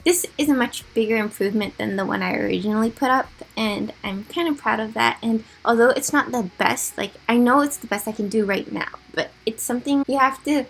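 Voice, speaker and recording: A young woman's raspy, slightly high voice with a gravelly edge. She chats in a casual, rambling way and pokes fun at herself, peppering her talk with fillers like "like". It is a relaxed gaming vlogger voice-over. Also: a little fast, few pauses, poor audio. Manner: chatty, self-deprecating, lots of "like" fillers. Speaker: gaming YouTuber. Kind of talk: casual voice-over of a drawing timelapse.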